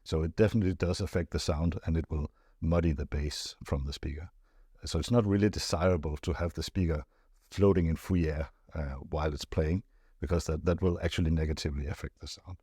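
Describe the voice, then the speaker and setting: Low voiced guy doing a casual expert explainer, thinks as he goes w lots of pauses, really dry recording. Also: steady tone, mellow, slightly rough, no echo. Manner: hesitant, thoughtful phrasing with frequent pauses and repeated words. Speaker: loudspeaker expert answering viewer questions.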